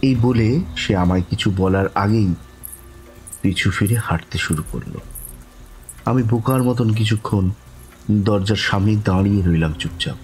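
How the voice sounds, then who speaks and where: A man narrating a horror story in the first person, dramatically and suspensefully, with pauses between short phrases. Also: lowish voice, faint music behind, low-quality recording.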